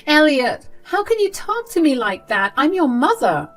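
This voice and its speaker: A wheedling, manipulative old grandmother, sick and begging, as a woman puts her on. Her voice rides high and whiny, with a faint bed of music underneath. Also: very animated, moderate pace. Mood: indignant.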